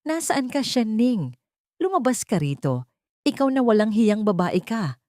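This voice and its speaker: An elderly, high-born mother-in-law acted out by a woman narrator in an audio melodrama. She is furious and bitter, accusing with indignation in a raised pitch, with a close, dry sound. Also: big pitch swings, unhurried, a little mellow, no room sound. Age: older.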